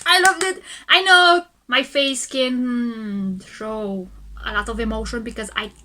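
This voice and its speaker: A woman hosting a music reaction video, talking calmly and softly, often pausing and restarting her sentences. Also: on the high side. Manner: calm, soft-spoken, with hesitant restarts. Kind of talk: casual music reaction commentary.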